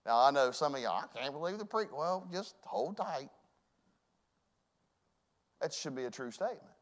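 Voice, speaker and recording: A man preaches to his congregation. His voice sits high for a man. He rises to loud, ringing calls, then drops to hushed, earnest asides. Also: expressive, normal speed. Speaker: pastor. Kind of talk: sermon. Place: church.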